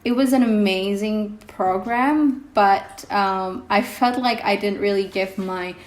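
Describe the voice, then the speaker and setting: A young woman vlogger whose first language is Indonesian, talking casually to her viewers with many pauses and ums. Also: medium pitch. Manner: hesitant, many ums, reflective. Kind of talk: casual vlog talk to camera. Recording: echoey room with faint music.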